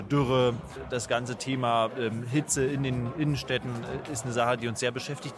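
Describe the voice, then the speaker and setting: A man in his thirties, a municipal mayor, answering interview questions in a measured way with some fillers. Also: mid-range voice. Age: 30s.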